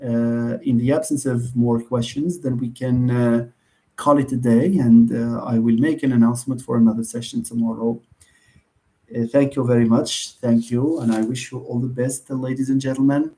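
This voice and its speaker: A man's voice: medium pitch, fairly even intonation, moderate pace, warm tone. A man with a Moroccan accent, a university lecturer answering students' questions in an online session, hesitant with many fillers and restarts, and often asking and answering his own questions. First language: Moroccan Arabic.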